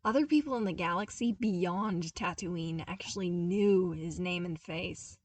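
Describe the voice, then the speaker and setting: A woman reading a story aloud in an expressive, scripted narration style. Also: on the low side, unhurried, husky, no echo, recorded from a distance. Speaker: podfic narrator reading fan fiction.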